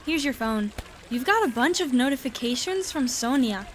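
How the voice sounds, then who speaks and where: A woman's voice: high voice, expressive, normal speed, slight background noise. An actress playing a cheerful, eager young girl in an audio drama. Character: cheerful young girl Alexa who knits.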